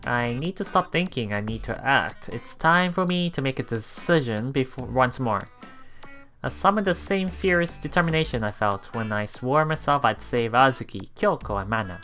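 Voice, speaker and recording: A man doing a let's play, reading story narration aloud with casual commentary, halting and chuckling as he goes, with game music behind him. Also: mid-range voice, animated, sharp tone. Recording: voice over game background music.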